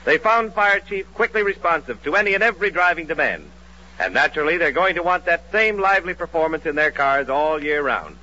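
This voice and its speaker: A man's voice: on the high side, expressive, fairly quick, sharp tone. An American man reading a commercial as an emphatic, salesmanlike 1940s radio announcer. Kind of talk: 1940s radio advertising read. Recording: dull old radio broadcast.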